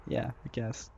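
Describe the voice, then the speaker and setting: A man casting a live game stream, thinking aloud, laughing often and trailing off as he works things out. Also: dark tone. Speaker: caster. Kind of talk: casual live-stream commentary. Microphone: headset or desk mic, not on camera. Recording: dull lo-fi sound.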